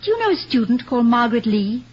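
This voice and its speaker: An actress in an old-time radio comedy-drama playing the warm, witty wife of a college president, affectionate and gently arch. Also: female voice, a little high, sing-song, fast, slightly rough. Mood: troubled, gentle. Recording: muffled, noisy old radio recording.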